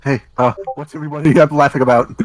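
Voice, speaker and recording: A man's voice: fairly high pitch, fairly even intonation, fast talker, dry recording, close to an everyday voice. A man voicing a flustered, embarrassed teenage boy hedgehog from a cartoon, stammering and yelping his protests. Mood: puzzled.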